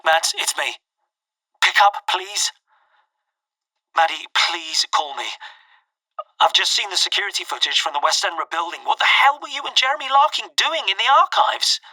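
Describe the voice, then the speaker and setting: Worried guy leaving a voicemail, very sharp tense voice, bit high, pleading, sounds distant. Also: animated, normal speed, long pauses, heavily acted. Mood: alarmed, urgent. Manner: pleading, exasperated sighs. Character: worried, urgent man managing a crisis by phone. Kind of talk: audio drama phone message. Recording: distant-sounding phone message.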